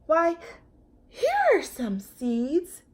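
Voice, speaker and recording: An earnest little storybook teddy bear, voiced by a woman, high-pitched and easily startled. The voice swoops with wide-eyed wonder and stretches out its exclamations. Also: animated, extremely slow, heavily acted. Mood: determined.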